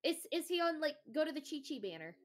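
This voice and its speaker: A young woman chatting casually while gaming with a friend, excitable and teasing. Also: high-pitched, quick pace. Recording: music behind the voice. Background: music.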